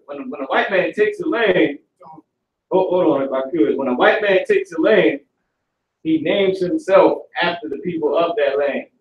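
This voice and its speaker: A man's voice: a little high, highs cut off. A young man leading a live class, talking in loose, profane street speech and pressing his students with questions. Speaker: class teacher. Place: classroom with stage and banners, indoors. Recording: echoey room, voice from a distance.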